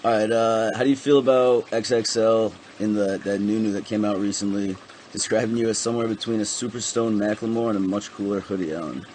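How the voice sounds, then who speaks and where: A man's voice: on the low side, steady tone, fairly quick, darkish tone, a little hiss or hum. Hesitant man asking interview questions. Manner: hesitant, many ums and restarts.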